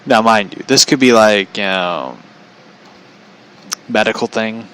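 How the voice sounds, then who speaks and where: Bright-voiced man rambling through casual stories. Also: on the low side, takes it slowly, a little hiss or hum. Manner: foul-mouthed, incredulous. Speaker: podcast host telling stories.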